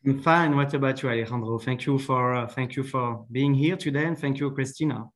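A man from France with a French accent, an accountant answering interview questions haltingly with many fillers. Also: mid-range voice. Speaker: accountant, podcast guest.

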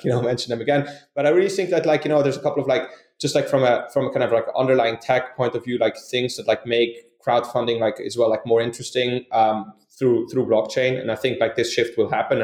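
A man from Switzerland answers interview questions at a middling pitch. He rambles and restarts, with many fillers. The recording has a roomy echo. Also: darkish tone. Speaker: guest, company co-founder. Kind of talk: casual podcast interview answers.

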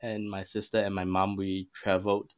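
A man's voice: sits low, monotone, normal speed. A young man with a Singaporean accent chatting casually as a guest, hesitantly searching for his words. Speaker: podcast guest, musician. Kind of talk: casual conversational storytelling.

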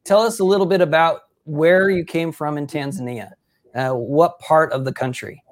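A man's voice: a little high, moderate pace. A man hosting a casual sports interview, upbeat and effusive in his praise of his guest. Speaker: interview host.

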